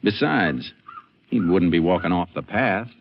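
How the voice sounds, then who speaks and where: An actor playing a calm, shrewd Texas Ranger in a 1950s western radio drama, terse and matter-of-fact. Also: male voice, sits low, measured pace, full character voice. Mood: calm, analytical. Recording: muffled, hissy old radio recording.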